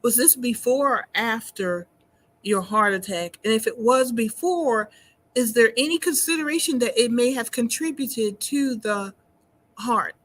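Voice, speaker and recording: A woman hosting a talk show, asking questions in a warm, encouraging manner. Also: on the high side, measured pace. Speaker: host. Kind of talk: interview conversation.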